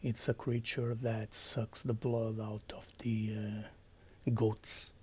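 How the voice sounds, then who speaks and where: A man from Portugal with a Portuguese accent, chatting quietly on a stream, hesitant, with many fillers and slips into whispers. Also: lowish voice, level tone, takes it slowly, a little hiss or hum. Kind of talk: quiet casual chat with viewers.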